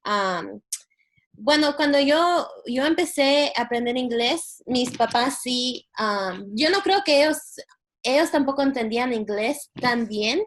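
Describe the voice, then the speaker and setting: A young woman student from Guatemala talks casually about her own experience. Her voice is a little high, and she feels her way hesitantly through her phrases. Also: lively intonation, measured pace, a bit bright. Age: young adult. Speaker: university student. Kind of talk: casual personal account in a panel.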